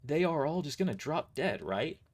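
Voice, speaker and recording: A man's voice: on the high side, very animated, normal speed, slightly rough, voice pushed up. A man voicing a blunt, skeptical mercenary who fires off startled questions in an audio drama. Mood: incredulous, skeptical. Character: incredulous, questioning mercenary in a sci-fi fantasy drama.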